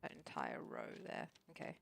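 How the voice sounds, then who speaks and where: A woman's voice: extremely low pitch, moderate pace, gravelly, a bit bright, slight background noise. A woman chatting casually on a gaming livestream, thinking aloud as she talks herself through choices. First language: English. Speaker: streamer, therapist answering questions.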